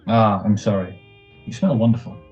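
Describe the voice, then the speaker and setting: Male actor as young soldier husband, 1940s radio play, mellow dark tone, tender, hesitant, muffled recording. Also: lowish voice, moderate pace, lightly acted. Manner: affectionate, teasing, wistful, hesitant. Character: tender, playful young returned serviceman husband. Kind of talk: 1940s radio drama acting. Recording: dull muffled audio, faint music.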